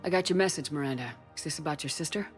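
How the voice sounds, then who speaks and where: A woman playing a low-voiced, blunt commander. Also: racing, soft background music, natural voice. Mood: firm, guarded. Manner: blunt, probing, no-nonsense. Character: tough, direct female military commander. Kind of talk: video game voice acting.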